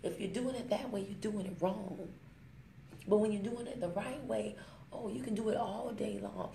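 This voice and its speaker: A woman talks straight to her phone camera in a motivational vlog, pressing each point home and slowing down on the lines she wants to land. Her voice is mellow and dark, with a gravelly fry at the ends of phrases. The room echoes noticeably, with a faint hum of ambience underneath. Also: mid-range voice. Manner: emphatic, preachy, fond of rhymes, slows down to stress points. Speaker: network marketing vlogger. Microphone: smartphone.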